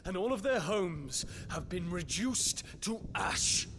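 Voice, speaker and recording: A man's voice: fairly high pitch, heavily acted. A man playing an earnest, rebellious young prince in a video game, speaking in a solemn, formal, oratorical manner. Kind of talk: dramatic video game voice acting. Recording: clear background noise of scene ambience.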